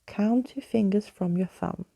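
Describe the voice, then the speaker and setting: A woman's voice: mid-range voice, measured pace, vocal fry, mellow, no room sound. A woman voicing a bold, theatrical skeleton man in a storybook, grandly spoken and playful. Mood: confident. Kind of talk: storybook character in ASMR reading.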